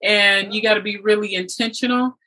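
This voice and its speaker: Woman, interview host, bright forceful voice, medium pitch, emphatic, motivational conversational talk. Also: steady tone, a little fast, rough recording.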